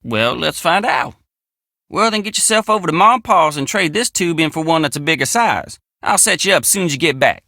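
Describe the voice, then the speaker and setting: A man's voice: a little high, lively intonation, fairly quick, bright, forceful tone, dry recording, clean studio sound. An actor playing a friendly, plainspoken young country handyman with an American drawl in a video game. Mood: easygoing, matter-of-fact. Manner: folksy, plainspoken country phrasing. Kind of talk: video game character dialogue.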